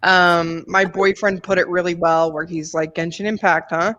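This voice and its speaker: A woman's voice: lowish voice, steady tone, moderate pace, fairly bright tone. A woman co-hosting a podcast, chatting casually about video games in a giggly, self-deprecating way. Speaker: podcast co-host. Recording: slightly dull, lo-fi audio.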